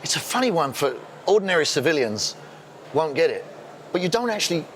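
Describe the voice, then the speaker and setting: A man's voice: on the high side, sing-song, brisk. Jokey male fight-show host. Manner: jokey, chatty. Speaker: interviewer host. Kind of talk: interview. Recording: noisy background.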